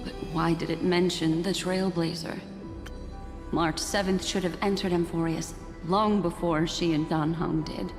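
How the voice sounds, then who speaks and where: Woman acting a low-voiced, thoughtful heroine. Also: fairly even intonation, a little slow, lightly acted. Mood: pensive, puzzled. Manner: pensive, questioning. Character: serious, thoughtful woman companion piecing together a mystery. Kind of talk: video game voice acting. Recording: long reverb, music behind.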